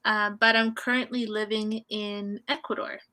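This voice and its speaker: A woman from Canada tutoring English on a video call, friendly and encouraging, gently correcting her student. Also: medium pitch, flat delivery, normal speed. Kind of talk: online conversation lesson.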